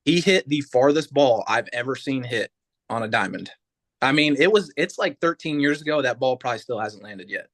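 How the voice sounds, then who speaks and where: A man in his mid thirties, a guest on a casual podcast. He speaks at an ordinary pitch in a laid-back way, often replying with a short "yep" before he tells a story. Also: lively intonation, brisk, fairly bright tone. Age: 30s. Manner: easygoing, short agreeing replies. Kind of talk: casual chat.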